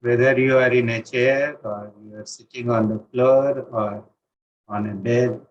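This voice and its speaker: Man, Indian accent, meditation teacher, calm deliberate phrasing, frequent pauses, mid-pitched voice, muffled lo-fi online recording. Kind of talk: guided meditation instruction. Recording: dull, lo-fi online session audio.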